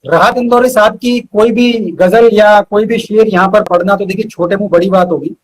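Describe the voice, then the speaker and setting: A man's voice: high voice, normal speed, a little hiss or hum, low-quality recording. A man, a poet being interviewed, speaking earnestly and reciting with emphatic repetition. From India.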